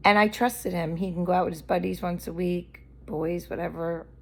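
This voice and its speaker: Woman vlogger, candid and rambling, slightly lower voice, a bit hoarse from allergies. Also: fairly even intonation, moderate pace. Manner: candid, blunt, rambling rant. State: losing her voice from allergies. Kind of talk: unscripted rambling monologue.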